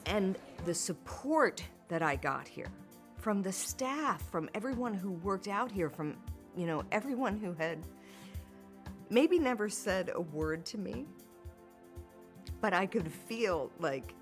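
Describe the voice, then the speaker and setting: A woman's voice: medium pitch, animated, slow pace, soft background music. A woman, a Paralympic skier, tells her own story in a documentary interview, speaking candidly and often breaking into laughter mid-sentence.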